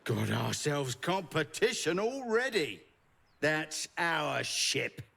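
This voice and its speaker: High, gravelly, brash little gang boss. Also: male voice, very animated, takes it slowly, sharp tone, heavily acted. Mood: insistent, alarmed. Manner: blustering, scolding, commanding. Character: brash, short-statured, bossy treasure-hunting gang leader. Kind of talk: video game character acting.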